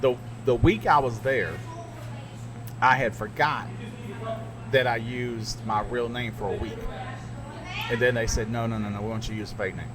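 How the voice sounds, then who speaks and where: A middle-aged man who works as a radio DJ talks through his stories in a loose, rambling way, often laughing at himself. His voice sits at an ordinary pitch. The recording has steady background noise. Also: normal speed, pauses often. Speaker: podcast co-host and radio DJ. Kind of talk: casual chat.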